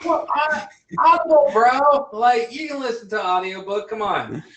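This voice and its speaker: A man's voice: extremely high pitch, expressive, measured pace, a bit bright. Blunt, teasing male host in a roomy space. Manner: blunt, teasing, with frequent restarts. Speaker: interview host, dentist. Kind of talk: casual livestream interview chat. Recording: roomy echo.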